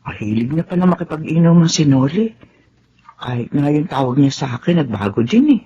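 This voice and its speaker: Daling, a weary middle-aged housemaid. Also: female voice, low voice, sing-song, brisk, a little hiss or hum. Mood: worried. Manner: gentle, motherly and resigned. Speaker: radio drama actor. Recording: dull lo-fi radio recording.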